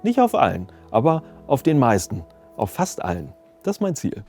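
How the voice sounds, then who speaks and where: A man's voice: mid-range voice, huge pitch swings, normal speed, a little mellow. A man talking casually and reflectively in an interview as a sound designer, sometimes searching for words. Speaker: audio drama sound designer and mixer. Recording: music playing behind the voice. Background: background music.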